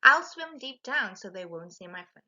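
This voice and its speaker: A woman's voice: medium pitch, very animated, moderate pace, pushed and bright, highs cut off. A woman voicing a proud, dramatic female shark in a storybook, theatrical and exclaiming. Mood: sly, proud.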